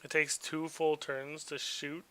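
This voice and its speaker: A man's voice: a little high, little pitch movement, takes it slowly, a bit bright. A man taking part as a player in a tabletop game, chatting casually with the group.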